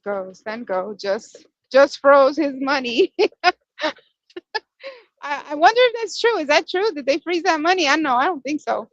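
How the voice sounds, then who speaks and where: A fairly high-voiced woman from Washington Heights whose first language is Dominican Spanish, chatting casually and reading viewer comments aloud. She laughs easily and apologizes when she loses her place. Her voice sounds dull and dry, recorded inside a moving vehicle. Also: animated, moderate pace, no echo. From Washington Heights, Manhattan. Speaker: co-host.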